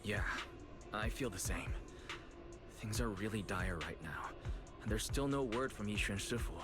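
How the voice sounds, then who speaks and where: Male actor as young hero, fairly low voice, trusting, hesitant, sincere, game dialogue over music. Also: measured pace, lightly acted. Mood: earnest. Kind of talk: video game voice acting.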